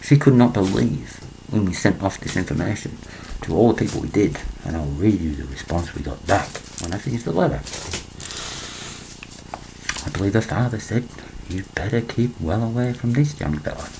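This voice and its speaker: A man's voice: fairly low pitch, animated, normal speed, vocal fry, background noise. Older man reading and commenting. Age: 60s. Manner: rambling, breaks off his reading to comment. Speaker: vlogger. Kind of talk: reading a letter aloud with personal asides. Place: small room indoors at home.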